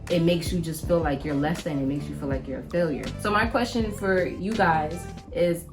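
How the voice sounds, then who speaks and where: A woman's voice: low voice, normal speed, over music. A woman in her late twenties co-hosting a casual podcast, talking candidly and expressively and laughing often. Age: 20s. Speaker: podcast co-host.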